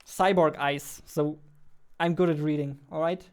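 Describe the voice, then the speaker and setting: A man's voice: a little high, steady tone, a little slow, clear, resonant tone. A man in his twenties streaming as a game developer, chatting informally and playfully into a close studio mic. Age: 20s. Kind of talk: casual livestream chat. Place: small indoor room with dark backdrop. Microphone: close studio mic on boom arm.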